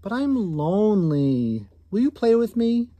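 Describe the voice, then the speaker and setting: A man acting eager lonely young boy, storybook character, high-leaning pitch, earnest pleading, dry close sound. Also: very animated, a little slow, warm tone, dry recording.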